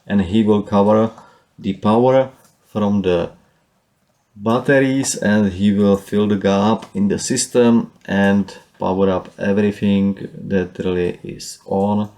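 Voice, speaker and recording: Czech-accented man with a low, mellow voice. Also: fairly even intonation. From Czech Republic. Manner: halting, with frequent fillers and restarts. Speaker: solar power hobbyist. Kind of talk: casual screen walkthrough.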